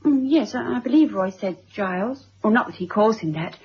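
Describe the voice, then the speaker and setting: An actress playing a defiant, wounded young daughter in a radio drama, speaking emphatically. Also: female voice, medium pitch, expressive, fairly quick, a little hiss or hum, natural voice. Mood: earnest. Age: young adult. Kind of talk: 1970s radio drama acting. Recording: muffled old radio recording.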